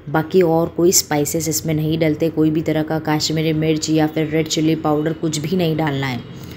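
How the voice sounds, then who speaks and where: A woman's voice: sits low, mellow, a little hiss or hum. A woman in her thirties, a home cooking host, explaining a recipe step by step in a small home kitchen, in an easy, homely way with fillers. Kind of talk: casual recipe demonstration.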